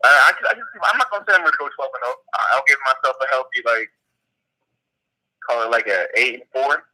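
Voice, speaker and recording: A man's voice: mid-range voice, quick pace, sharp tone. A man calling in by phone, talking in a laid-back, slangy way with some swearing. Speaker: caller, league team owner. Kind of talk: casual phone chat. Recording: tinny phone line.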